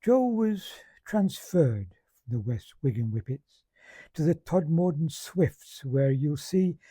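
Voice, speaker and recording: Man, comic monologue recitation, very mellow dark tone, husky, measured dialect storytelling. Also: fairly high pitch, lively intonation, no room sound.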